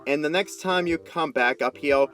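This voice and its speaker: A man's voice: a little high, normal speed, fairly bright tone, soft background music, voice pushed up, lightly acted. A man voicing a friendly, earnest male pet dog who makes videos, in a casual, eager way, for a story reading.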